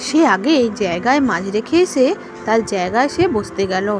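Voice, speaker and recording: A woman reading scripted storybook narration for an animated moral tale. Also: medium pitch, normal speed, over music.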